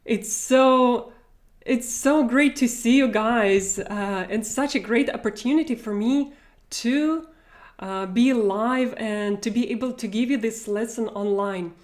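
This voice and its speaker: A woman's voice: fairly high pitch, a little slow. A woman in her forties with a Russian accent, teaching a live online language lesson from home in a friendly, chatty way with plenty of fillers. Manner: friendly, chatty, laughs often, many fillers. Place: home room with bookshelves. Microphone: wired earphone mic.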